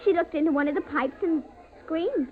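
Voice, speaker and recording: A woman's voice: sits high, moderate pace, natural voice. An actress playing a willful, breezy little girl in a 1950s radio drama, childish, offhand and defiant. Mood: matter-of-fact. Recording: tinny, noisy vintage radio recording.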